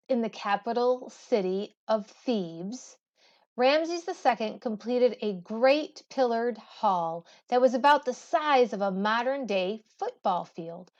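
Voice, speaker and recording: A woman teacher reading a history textbook aloud in a measured, deliberate way. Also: fairly high pitch, highs cut off. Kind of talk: educational read-aloud of a history textbook with brief explanatory asides.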